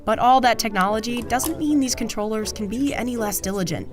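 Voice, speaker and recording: Woman narrating over soft music. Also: a little high, fairly quick. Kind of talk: scripted documentary narration.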